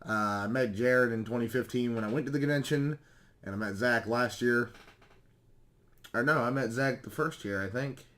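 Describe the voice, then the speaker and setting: A man with a slightly hoarse voice chats casually and ramblingly as a video host showing off recent pickups. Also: medium pitch, normal speed, fairly bright tone. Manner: rambling, self-correcting, mild swearing. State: slightly hoarse, losing his voice. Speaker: YouTube video game collecting host.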